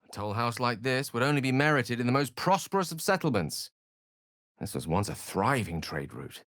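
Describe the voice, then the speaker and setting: A man's voice: mid-range voice, sing-song, moderate pace, fairly bright tone, dry recording, clean studio sound. A man playing an eloquent, bookish video game wizard, wry, proud and courteous, with an articulate, theatrical and refined delivery. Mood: grave. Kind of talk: video game character acting.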